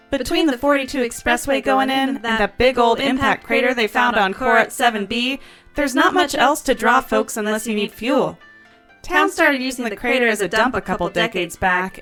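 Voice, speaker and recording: A woman playing a sassy, no-nonsense diner waitress in a comedy audio drama, folksy and brisk in manner. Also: fairly high pitch, a bit bright. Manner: folksy, brisk, calls people hun.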